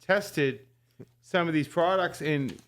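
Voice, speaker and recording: A man's voice: a little high, slow pace, a bit bright. A man co-hosting a casual podcast, blunt and joking as he talks. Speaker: podcast co-host. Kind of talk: casual chat.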